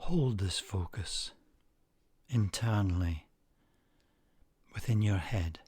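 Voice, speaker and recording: A man narrating a guided meditation in a measured way, leaving long pauses between short phrases. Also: lowish voice, a little mellow, slightly rough, no echo.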